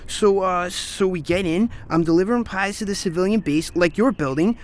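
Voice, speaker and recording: An actor playing a cocky, scheming teenage boy in a radio drama, brash, slangy and excitable. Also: high-pitched, normal speed, natural voice. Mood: eager, brash. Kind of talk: naturalistic radio drama acting.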